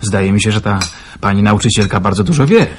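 An actor playing a reserved, hardened gentleman in hiding in a wartime radio drama. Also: lowish voice, a little fast, crowd noise, natural voice. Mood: guarded. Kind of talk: Polish radio drama acting. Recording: noisy radio play recording with clicks.

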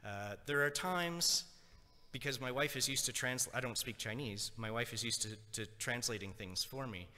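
Man, lecturer, first language French, chatty storytelling, bright voice, long hall reverb. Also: mid-range voice, moderate pace. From Nova Scotia, Canada. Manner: chatty, animated storytelling with frequent asides. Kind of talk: lecture on intercultural education. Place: lecture room, indoors.

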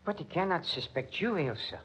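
An actor playing a suave, defiant concert musician with a Continental European accent and formal phrasing, in 1940s radio style. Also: male voice, on the high side, sing-song, a bit bright, husky, heavily acted. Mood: suave, defensive. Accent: foreign, Continental European. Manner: formal, measured foreign phrasing. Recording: muffled 1940s radio recording.

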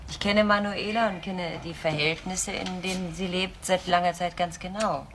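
A woman's voice: lowish voice, fairly even intonation, normal speed, fairly bright tone, slight background noise, natural voice. An actress playing a guarded, protective woman in a German radio crime drama, speaking insistently and articulately. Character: controlling, protective woman shielding a frightened younger friend.

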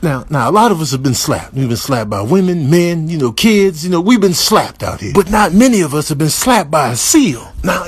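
A man's voice: on the high side, slightly rough, no echo. A man giving comedic voiceover commentary in a mock-serious, emphatic way, repeating phrases for effect.

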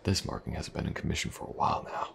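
A man playing a tough, easygoing mercenary warrior in a fantasy audio roleplay, talking in a laid-back, musing murmur with unhurried pauses. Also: fairly bright tone, close to an everyday voice. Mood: intrigued, wry. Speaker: voice actor. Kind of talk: fantasy audio roleplay, intimate conversational acting.

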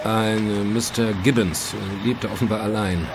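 An actor playing a grudging, matter-of-fact police inspector in a German crime audio drama, dry and businesslike. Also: male voice, on the low side, fairly even intonation, normal speed, background noise, natural voice. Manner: dry, businesslike, disdainful toward outsiders.

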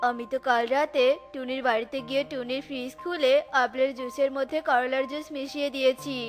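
A woman's voice: high-pitched, fairly even intonation, a little fast, lightly acted. A woman voicing a sly, scheming male crow in a children's cartoon, with a theatrical, boastful delivery. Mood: gloating. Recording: faint music under the voice.

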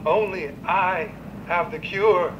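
An actor playing a smug, unhinged celebrity therapist, theatrical and full of clinical jargon, in a video game cutscene. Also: male voice, high voice, slow pace, lo-fi sound, noisy background. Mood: grandiose, persuasive. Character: smug, unhinged, self-promoting celebrity therapist with delusions of grandeur.

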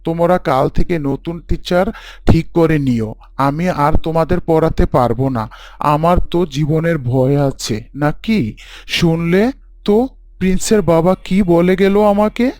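Schoolteacher, male actor: gentle, fatherly, easily cowed, slightly high pitch, dry recording. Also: moderate pace, dry recording, close to an everyday voice. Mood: meek, pleading. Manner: fatherly, pleading. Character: kindly male schoolteacher, meek when threatened.

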